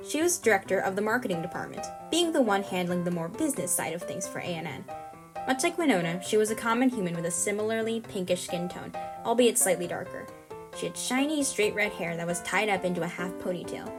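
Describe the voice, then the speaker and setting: A woman reading scripted narration for an audio drama. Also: medium pitch.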